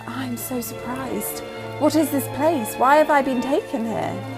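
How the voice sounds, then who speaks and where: A woman's voice: high-pitched, measured pace, over music. A woman playing a frightened, indignant young heiress in an old-time radio melodrama, theatrical and pleading. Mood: puzzled, suspicious.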